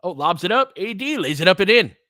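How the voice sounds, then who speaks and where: A man's voice: sits high, sing-song, brisk, pushed and bright, no echo, clean studio sound. A man giving live basketball play-by-play mixed with casual, long-winded analysis in a conversational, explanatory way.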